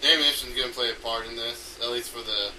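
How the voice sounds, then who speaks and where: A man giving an unscripted, rambling explanation as an amateur game designer, halting often as he thinks aloud. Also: mid-range voice, fairly even intonation. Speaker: amateur game designer recording an audio log. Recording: noisy home recording, distant from mic.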